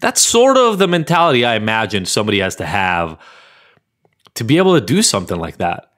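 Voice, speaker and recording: A man's voice: lowish voice, big pitch swings, fairly quick, a bit bright. A man from Israel hosting a podcast, talking bluntly and emphatically in a solo monologue, with frequent swearing. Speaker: podcast host.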